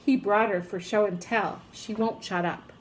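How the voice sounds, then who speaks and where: A woman's voice: mid-range voice, steady tone, normal speed, slight background noise, natural voice, rough recording. Woman playing a firm, harried schoolteacher. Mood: exasperated. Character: harried woman schoolteacher, scolding yet self-assured.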